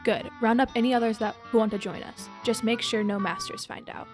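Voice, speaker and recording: Casting: young female reader as resolute woman leader of runaways, firm, commanding, higher-pitched, school radio play. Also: fairly even intonation, fairly quick, loud music behind the voice, natural voice. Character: resolute woman guiding escaped slaves, brave and commanding. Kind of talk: school radio drama reading.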